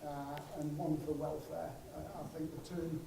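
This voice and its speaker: A man with a fairly high voice explains things in a measured way, pausing between phrases, like an expert on a panel. He is some way from the microphone in an echoey room with clear background noise. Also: flat delivery, recorded from a distance. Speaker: guest speaker, sports psychologist. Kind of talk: explanatory answer at a live Q&A. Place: room at a conference, away from the mic.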